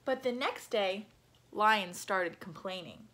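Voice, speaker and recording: A young adult woman reading a picture book aloud to children, animated and explanatory, with asides. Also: mid-range voice, slow. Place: small room at home.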